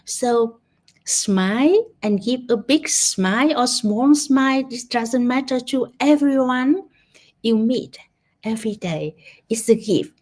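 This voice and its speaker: A woman from Vietnam with a Vietnamese accent, guiding a gratitude meditation online in gentle, halting English with many restarts. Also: on the high side, animated. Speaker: meditation guide. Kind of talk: guided gratitude meditation talk. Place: online session.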